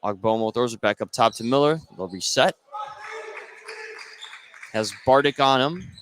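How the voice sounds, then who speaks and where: A male play-by-play announcer calls a basketball game live for radio. He speaks in short, clipped running phrases at an ordinary male pitch, with clear noise from the court behind him. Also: lively intonation, normal speed.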